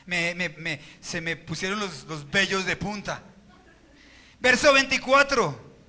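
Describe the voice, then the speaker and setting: A man's voice: high-pitched, slow pace, sharp tone. A Colombian man preaching a sermon as pastor at a church service, firing rhetorical questions at the congregation.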